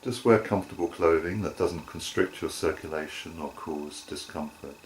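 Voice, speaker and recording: Man, low-pitched voice, yoga nidra teacher, guided relaxation, deliberate short phrases, slight ambient noise.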